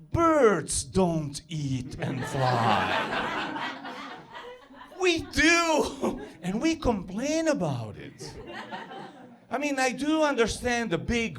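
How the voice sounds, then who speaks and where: A man with a Serbo-Croatian accent doing a self-deprecating stand-up set on stage, building to yells. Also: high voice. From former Yugoslavia. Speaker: stand-up comedian.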